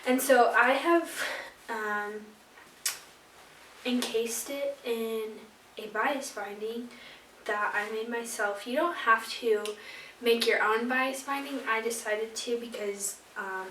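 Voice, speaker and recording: A young woman in her twenties with a slightly hoarse voice from being sick, casually explaining sewing steps with lots of ums. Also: fairly high pitch, fairly even intonation, takes it slowly, fairly bright tone, a little hiss or hum. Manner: chatty, filler-heavy explaining, many ums. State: hoarse, recovering from illness. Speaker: sewing vlogger. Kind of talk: casual tutorial vlog. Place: home sewing room at night. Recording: echoey room, distant camera mic.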